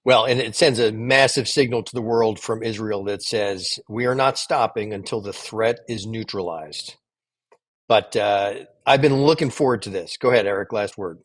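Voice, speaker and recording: A man's voice: lowish voice, normal speed. A man hosting a podcast, putting questions in a conversational political interview style. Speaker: podcast host.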